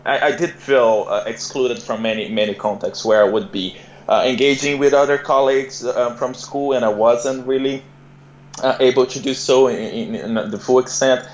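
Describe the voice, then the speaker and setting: A man's voice: medium pitch, steady tone, normal speed, reverberant, highs cut off. Brazilian-accented young man, hesitant. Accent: Brazilian Portuguese. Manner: hesitant, many fillers and repeated words. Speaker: guest. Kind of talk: casual interview.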